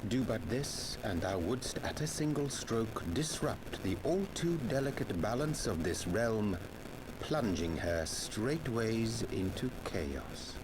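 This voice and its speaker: An actor playing a solemn, scholarly conspirator in a fantasy game, speaking in measured, formal, archaic language. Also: male voice, on the low side, lively intonation, background noise. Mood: solemn, persuasive. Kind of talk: theatrical fantasy video game acting.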